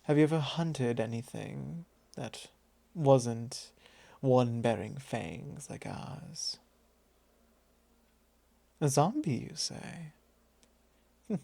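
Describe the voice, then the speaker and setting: Guy doing a possessive vampire lord asmr, soft mellow voice, super dry close sound, teasing and formal, drawly. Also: medium pitch, lively intonation, extremely slow, vocal fry, pauses often, no echo, full character voice. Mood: curious, musing. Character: possessive male vampire lord, teasing, calmly probing his thrall. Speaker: ASMR roleplay performer. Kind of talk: ASMR roleplay, intimate and unhurried.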